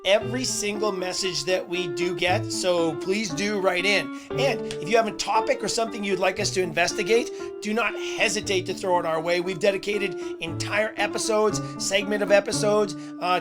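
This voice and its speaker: A man hosting a sports podcast in a casual monologue. His voice is a little hoarse and he talks earnestly, with "uh"s and repeated words. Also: sits high, fairly even intonation, moderate pace, hardly any pauses, loud music behind the voice. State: slightly hoarse voice. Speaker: podcast host.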